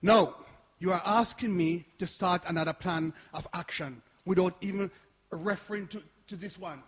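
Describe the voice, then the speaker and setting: Man acting frustrated older man, husky, high-pitched. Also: normal speed, fairly bright tone. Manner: sighing, challenging, insistent. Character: Arthur, frustrated, persistent older community centre man. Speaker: actor in a live radio play. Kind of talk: radio drama acting before an audience. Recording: reverberant hall recording.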